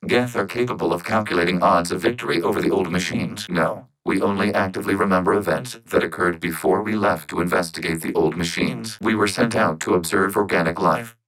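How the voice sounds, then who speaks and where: Game robot, lowish voice, synthetic processing, calm, logical, formal, precise measured delivery. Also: male voice, dry recording, poor audio. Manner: measured, formal, literal, clipped phrasing. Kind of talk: video game character dialogue.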